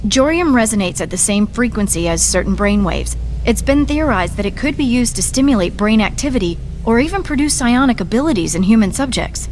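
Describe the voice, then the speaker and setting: An actress playing a composed, cerebral scientist in a video game, explaining things precisely. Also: female voice, a little high, lively intonation, a little fast, darkish tone, faint music behind, lightly acted. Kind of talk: dramatic video game acting.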